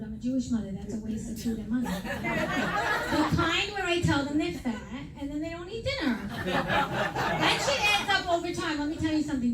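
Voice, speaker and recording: Brash high-voiced woman doing stand-up. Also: recorded from a distance, heavy background noise. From New York. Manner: brash, self-mocking punchlines, quoting others in raised voices. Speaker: stand-up comedian. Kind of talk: stand-up comedy set. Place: comedy venue with a live audience. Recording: echoey room, mic heard from afar, loud crowd laughter.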